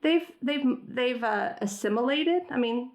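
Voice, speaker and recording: A woman's voice: sits high, animated, a little slow. A middle-aged woman whose first language is Farsi, talking reflectively in an interview with many fillers and restarts. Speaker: guest. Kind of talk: conversational interview.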